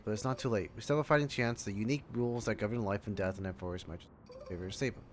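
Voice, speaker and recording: A man livestreaming a game, reacting casually and reading text aloud in informal, self-interrupting chatter. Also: medium pitch. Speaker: game streamer. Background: game music.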